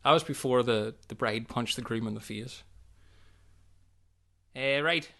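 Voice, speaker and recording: A man playing a chatty, excitable castaway who tells daft jokes in a comedy audio sketch. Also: medium pitch, a little slow, vocal fry. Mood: chatty, jokey.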